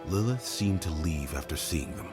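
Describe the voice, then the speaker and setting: Wandering demon hunter, curt, sober, bass voice, fantasy game dialogue. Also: male voice, lively intonation, moderate pace, music in the background. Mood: stoic, intent. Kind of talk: dramatic fantasy game acting.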